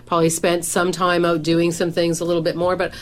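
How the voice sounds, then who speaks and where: A woman's voice: lowish voice, completely flat delivery, brisk. A middle-aged woman, a professional organizer and coach, talking on a radio show with a big voice that carries and lots of "you know" fillers. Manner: says she has a big voice that carries; conversational, many fillers and restarts.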